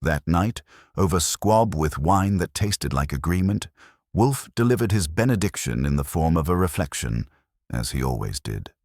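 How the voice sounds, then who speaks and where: A man reads detective fiction in a very deep voice. His intonation moves freely while the delivery stays measured and wry. The sound is dry, with little room on it. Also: big pitch swings, darkish tone, no room sound. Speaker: audiobook narrator. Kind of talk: first-person detective story narration.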